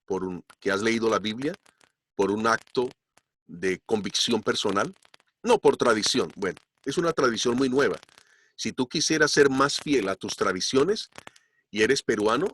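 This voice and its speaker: A man preaching a sermon as pastor, slowing down and pushing up his voice to stress key points. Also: mid-range voice, a bit bright, a little hiss or hum. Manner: emphatic, slows down to stress points. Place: church.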